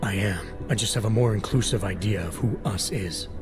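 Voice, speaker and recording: Man acting a gruff, low, deadpan agent over music. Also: a little fast, lightly acted. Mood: wry, firm. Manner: terse, deadpan. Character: gruff, stoic augmented male agent, calm and wry. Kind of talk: cinematic video game acting. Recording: dramatic music behind the voice.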